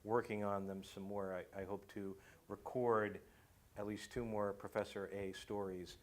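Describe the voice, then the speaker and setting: A man with a fairly low voice talks casually as a guest who makes a radio show. He speaks haltingly, often restarting mid-phrase as he explains. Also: flat delivery. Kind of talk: casual talk-show conversation.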